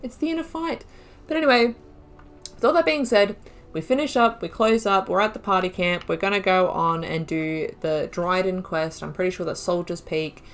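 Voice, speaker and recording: Woman, low-ish voice, gaming commentary, musing, thinking out loud. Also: normal speed. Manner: musing, thinking aloud with fillers and self-corrections. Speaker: gaming video creator. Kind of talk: casual let's-play commentary, reading in-game notes aloud.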